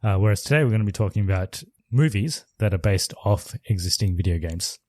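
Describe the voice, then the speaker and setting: Measured man explaining on a podcast. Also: lowish voice, expressive, a little mellow, no echo. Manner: measured, explanatory, hesitant restarts. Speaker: podcast co-host. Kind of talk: casual chat.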